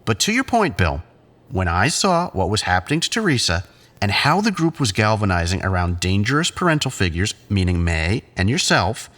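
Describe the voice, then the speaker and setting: A man playing a controlling psychiatrist in an audio drama, speaking in a measured, clinical, patronising way. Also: lowish voice, big pitch swings, a bit bright. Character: condescending, controlling male psychiatrist leading group therapy.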